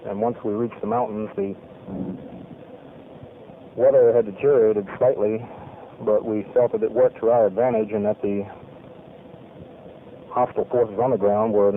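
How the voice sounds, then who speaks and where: A man, a navy pilot, giving a formal spoken debrief of a mission in measured, clipped military phrasing. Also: lowish voice, steady tone, slight background noise. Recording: tinny lo-fi archival radio recording.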